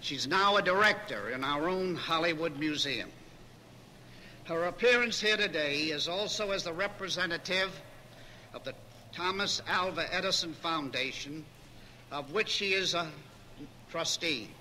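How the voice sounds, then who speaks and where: A man with a very bright, forceful voice, pitched high, hosts a ceremony from a podium. He speaks in formal introductions, with faint noise on the archival recording. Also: unhurried. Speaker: master of ceremonies. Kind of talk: formal public introduction speech. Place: podium before an audience.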